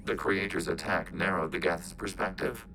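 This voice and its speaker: An actor playing a calm, logical machine intelligence in a video game, speaking in formal, detached phrases as a collective. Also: male voice, deep voice, normal speed, creaky, noisy background.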